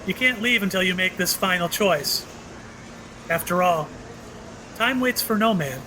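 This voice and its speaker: A man's voice: high-pitched, animated, unhurried, sharp tone, heavily acted. A man acting in an audio play as a mysterious, courteous and apologetic shopkeeper who speaks hesitantly. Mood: regretful, ominous. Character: mysterious, apologetic male shopkeeper offering tastes of time. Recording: clearly noisy background.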